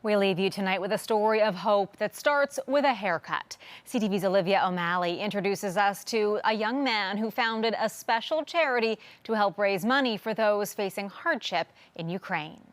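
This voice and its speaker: A woman's voice: mid-range voice, moderate pace, few pauses, dry recording. A woman with a Canadian accent presenting a scripted national newscast as anchor. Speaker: news anchor.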